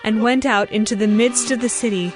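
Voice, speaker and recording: A woman narrator reading scripted Bible narration in a measured, solemn storytelling manner. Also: fairly high pitch, over music.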